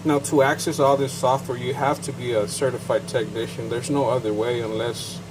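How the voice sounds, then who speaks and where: A man's voice: mid-range voice, noisy background. A man with a Chicano Mexican-American accent, bilingual, casually walking through a technical setup as a certified technician, rambling with fillers and asides. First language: bilingual English and Spanish. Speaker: certified payment terminal technician. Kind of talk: casual how-to demonstration.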